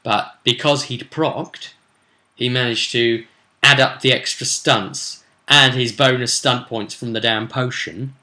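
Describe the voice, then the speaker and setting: Bright-voiced British man, chatty game master. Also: mid-range voice, fairly even intonation, slow pace. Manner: chatty, digressive, with playful asides and emphatic bursts. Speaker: game master recapping a tabletop role-play session. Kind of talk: casual animated recap to camera.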